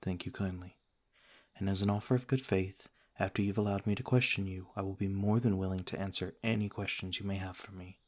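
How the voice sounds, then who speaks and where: A man playing a courteous, cautious noble vampire lord in an ASMR roleplay, speaking in a formal, gentle, measured way. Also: sits low, fairly even intonation. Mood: calm, guarded courtesy.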